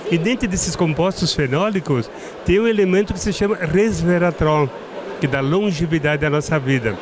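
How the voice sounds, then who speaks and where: A middle-aged man from southern Brazil with a strong Italian-tinged accent, speaking as a winemaker guest in a halting way, often restarting his words. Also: on the high side, crowd noise. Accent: Italian-tinged Brazilian Portuguese. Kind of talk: casual interview at a trade fair. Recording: noisy trade fair ambience.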